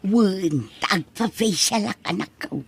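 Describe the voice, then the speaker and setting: A woman acting a husky, vain old Ilocano mother. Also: mid-range voice, expressive, slow pace, heavily acted. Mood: defensive, breezy. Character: vain, chatty elderly village mother, preoccupied with beauty and death. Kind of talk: Ilocano comedy audio drama acting.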